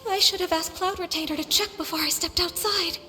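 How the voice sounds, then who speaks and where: A woman playing a gentle, modest young woman in a video game, courteous and earnest. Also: very high-pitched, steady tone, fairly quick. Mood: shy, surprised. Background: music.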